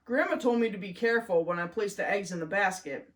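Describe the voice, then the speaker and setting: A woman's voice: mid-range voice, quick pace, natural voice. A woman voicing a proud, earnest farm girl explaining things in a children's storybook.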